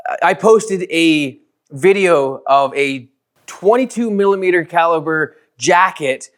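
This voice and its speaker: A man's voice: on the high side, a little slow, clean tone. A man co-hosting a show, giving an emphatic, informal presentation. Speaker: co-host, presenter. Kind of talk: slide presentation.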